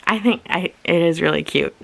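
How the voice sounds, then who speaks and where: A woman with a slightly raspy voice, chatting casually and thinking aloud as she presents an unboxing video with giggly asides. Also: on the low side, normal speed, fairly bright tone. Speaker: unboxing and review vlogger.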